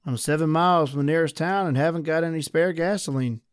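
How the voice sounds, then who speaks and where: A courteous country doctor played by a male actor in an old-time radio play. His voice is fairly high for a man, formal and hospitable, and recorded close and dry. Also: fast, clean tone, no echo, natural voice. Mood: polite, hospitable. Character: courteous country doctor receiving stranded night visitors.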